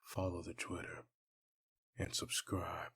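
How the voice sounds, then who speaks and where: A man with a very raspy voice reading a scripted video outro as channel creator. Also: deep voice, level tone, slow pace, fairly bright tone.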